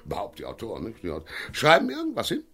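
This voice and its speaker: An actor playing a vain, scornful, ageing theatre director in a radio play, pompous and rambling with theatrical emphasis. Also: male voice, lowish voice, huge pitch swings, quick pace, a bit bright, slightly rough, faint music behind, full character voice. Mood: pompous complaining.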